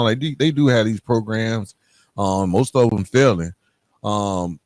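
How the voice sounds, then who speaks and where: A man's voice: on the low side, no room sound. A man hosting a podcast, chatting casually as he interviews a guest. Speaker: podcast host.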